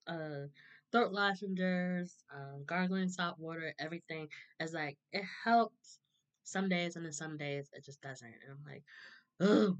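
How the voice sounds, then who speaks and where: A woman in her thirties with a hoarse, sore throat, chatting casually to a webcam, laughing often and clearing her throat. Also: low voice, fairly bright tone. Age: 30s. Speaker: vlogger. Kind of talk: casual chat to camera. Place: small room indoors.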